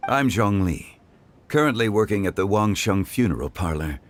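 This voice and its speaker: A man voicing a composed, courteous consultant in a video game, measured and formal. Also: on the low side, expressive, slight background noise, natural voice.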